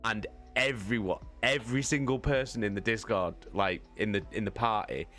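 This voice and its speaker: A man casually telling a rambling story, excitable and sweary, hammering points home by repeating them. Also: lowish voice, moderate pace, faint music behind. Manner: sweary, excitable, emphatic repetition, giggly. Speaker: live streamer telling a story.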